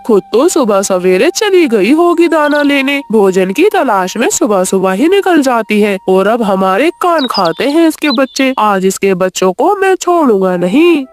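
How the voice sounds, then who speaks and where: A woman's voice: high-pitched, a little mellow. A woman voicing a grumpy, bossy male crow neighbor in a children's cartoon, scolding and threatening. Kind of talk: cartoon voice acting.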